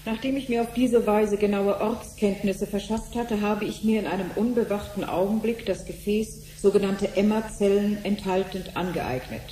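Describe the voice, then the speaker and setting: An actress playing a dutiful clerk in a 1970s radio play, with formal official delivery. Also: female voice, mid-range voice, normal speed, hardly any pauses, mellow, echoey room, a little hiss or hum, lightly acted, poor audio. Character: dutiful female clerk reading out a statement.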